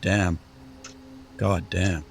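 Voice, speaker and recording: A gloomy, wary young man in a horror audio drama. His voice is very deep and creaky, and he speaks tersely. A faint noise lies in the background. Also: flat delivery, very slow, full character voice. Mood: uneasy awe. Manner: terse, sardonic.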